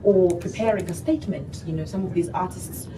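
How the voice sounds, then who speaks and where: Lively Ugandan woman, a bit low. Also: brisk, crowd noise. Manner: animated, sprinkled with "you know". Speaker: gospel singer and guest. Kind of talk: interview conversation. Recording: distant mic, noisy background.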